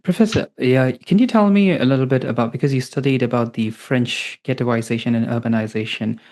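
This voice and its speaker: A man hosting an interview podcast, asking questions haltingly with many fillers. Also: medium pitch, a little mellow. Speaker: podcast host.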